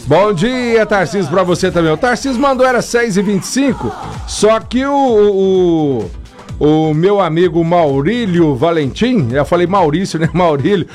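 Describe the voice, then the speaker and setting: A high-pitched man hosting a morning radio show, joking and chatting warmly with callers. Faint music plays behind him in the studio. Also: lively intonation, normal speed. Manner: jokey, chatty, laughs often. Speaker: radio host. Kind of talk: morning radio shout-outs.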